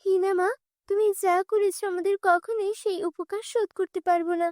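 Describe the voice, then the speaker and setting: A woman's voice: very high voice, steady tone, a little fast, darkish tone, no echo, clean studio sound. Woman playing an earnest, protective school principal. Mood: grateful. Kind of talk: children's cartoon voicing.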